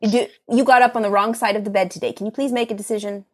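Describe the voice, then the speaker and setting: A woman's voice: fairly high pitch, steady tone, racing, close to an everyday voice. A woman playing a brusque, exasperated witch teacher in an improvised comedy sketch. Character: exasperated, bossy witch teacher running house sorting.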